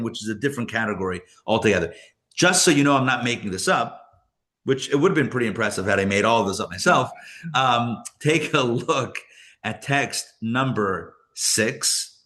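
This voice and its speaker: A man's voice: mid-range voice, a bit bright. A man, a rabbi teaching a live Torah class to an audience, talking casually, often chuckling and restarting as he fields questions. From born in Israel, raised elsewhere.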